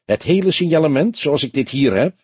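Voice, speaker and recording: A man's voice: medium pitch, very animated, measured pace, a little mellow, breathy edge, dry recording. A man voicing a young detective in an audiobook, an earnest and self-assured junior colleague who reports precisely and emphatically. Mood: piqued, insistent.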